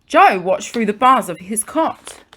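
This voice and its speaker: Higher-pitched woman reading a children's story. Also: animated, unhurried, bright, forceful tone. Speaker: primary school teacher reading a story.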